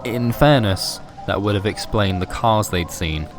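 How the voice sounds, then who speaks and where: Man, deep low voice, horror story narrator, scripted delivery, music behind. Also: unhurried. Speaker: narrator of a horror fiction podcast.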